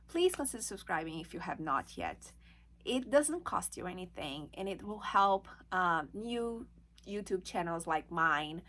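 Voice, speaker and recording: A woman's voice: on the low side, bright, forceful tone. Brazilian woman, chatty vlog talk. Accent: Brazilian Portuguese. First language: Portuguese. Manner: chatty, with many fillers and restarts. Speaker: online reseller. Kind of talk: casual vlog talk to camera.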